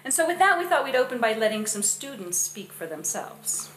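A woman's voice: fairly high pitch, big pitch swings, a bit bright. Casting: middle-aged woman, friendly lecturer at a podium mic, roomy hall, faint hiss. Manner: friendly, measured speaking with fillers. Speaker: education researcher and presenter. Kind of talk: public lecture. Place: wood-panelled lecture hall. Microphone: podium microphone.

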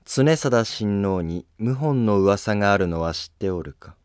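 Man as a stately, slow-speaking empress dowager. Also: fairly low pitch, animated, a little mellow, clear, resonant tone, no echo, studio quality. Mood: probing. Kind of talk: Japanese historical animated drama acting.

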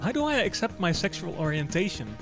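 A high-voiced man reads out scripted questions with lively, swinging intonation. Faint music plays behind him. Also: fairly quick. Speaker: reader of viewer questions. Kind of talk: scripted reading of short questions. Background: music under the voice.

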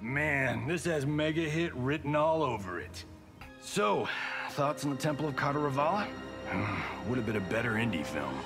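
An actor playing a flippant, boastful American Hollywood action star trading slangy banter in a fighting video game. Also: male voice, medium pitch, animated, moderate pace, lightly acted. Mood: cocky, joking. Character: cocky wisecracking male Hollywood action movie star. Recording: game music behind.

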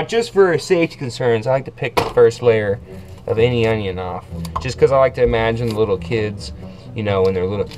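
A young man in his twenties, brash and boastful, hosting a comedic cooking show from a small kitchen. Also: medium pitch. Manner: brash, boastful, loud whoops.

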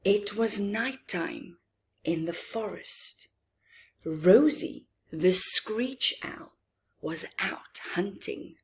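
Very slow, expressive woman storyteller. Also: mid-range voice, breathy edge. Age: middle-aged. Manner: expressive, dramatic pauses and emphasis, chatty asides to listeners. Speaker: children's story reader. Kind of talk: read-aloud storytelling for young children. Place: living room, indoors.

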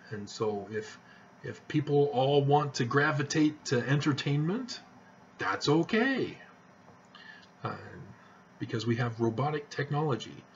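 A middle-aged man talks slowly and earnestly to his webcam from a small living room, rambling along with restarts. The sound is dull and lo-fi, with a faint hiss underneath. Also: mid-range voice. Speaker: vlogger. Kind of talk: casual talk to camera. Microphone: webcam at desk distance.

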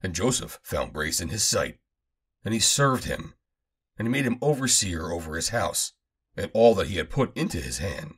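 A man with a low, husky voice reads scripture aloud. His delivery rises and falls widely, and he declaims the archaic text in measured phrases. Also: big pitch swings, a bit bright. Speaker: Bible reader. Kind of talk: scripted scripture reading, solemn archaic narration.

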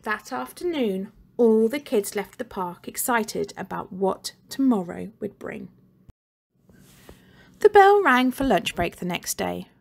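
Lively woman reading a children's story. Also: mid-range voice, takes it slowly, creaky. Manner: expressive, animated storytelling. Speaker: teacher reading aloud. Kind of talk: children's book read-aloud.

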